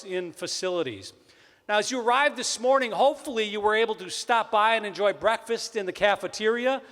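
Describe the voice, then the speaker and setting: A man from Wisconsin with a Wisconsin accent, emceeing a college convocation with upbeat announcements and jokes. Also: a bit bright. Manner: upbeat, joking, booming sports-announcer intro. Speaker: event emcee. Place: large hall. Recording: long hall reverb.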